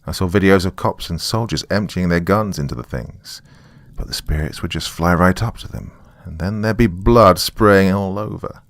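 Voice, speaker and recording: A man's voice: low-pitched, moderate pace, no room sound. A man narrating a first-person horror story in a conversational, wry way.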